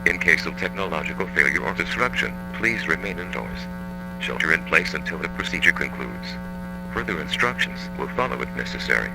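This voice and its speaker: Male government alert voice, very low with plenty of fry, formal and impersonal, loud music behind. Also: sing-song, a little fast, rough recording. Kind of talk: scripted emergency announcement.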